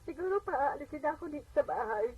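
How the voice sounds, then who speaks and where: A bitter, hurt mother, high-voiced. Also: expressive, fast, darkish tone. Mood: wary, hurt. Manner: resigned, bitter. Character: hurt, suspicious mother of a household. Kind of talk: radio drama dialogue. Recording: distant, noisy radio playback.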